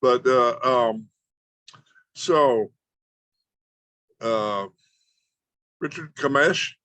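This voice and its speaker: A man's voice: medium pitch, extremely slow, a bit bright, no echo. Rambling male host, dry close sound. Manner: rambling, self-correcting, repeats words. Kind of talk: casual talk introducing a guest. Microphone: video call.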